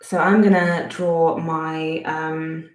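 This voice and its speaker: A woman's voice: deep voice, level tone, slow pace, reverberant, soft background music. A woman in her thirties with a Bristolian accent, chatting through a friendly step-by-step drawing lesson at her home desk, thinking aloud and poking fun at herself as she works. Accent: Bristolian English. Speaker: art teacher. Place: home studio desk, indoors.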